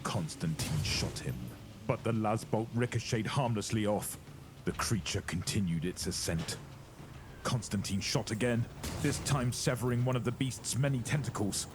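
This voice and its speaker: A man's voice: lowish voice, moderate pace, background noise. A man narrating a horror audio drama in a grave, ominous, scripted dramatic storytelling style.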